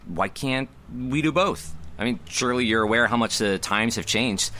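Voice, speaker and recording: An actor playing an eager, uncompromising young stage director, earnest and pushy, in an audio drama. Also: male voice, medium pitch, moderate pace, pushed and bright, a little hiss or hum, natural voice. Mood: persuasive.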